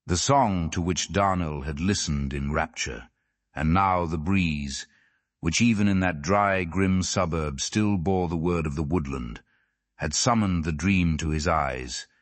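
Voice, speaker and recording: A man's voice: low voice, unhurried, dry recording. A man reading a literary story aloud as scripted audiobook narration. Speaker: audiobook reader.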